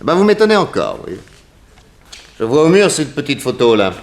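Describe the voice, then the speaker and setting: An actor playing a shrewd, suave private detective with an ironic, insistent way of questioning in a 1960s French radio drama. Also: male voice, on the high side, very animated, normal speed.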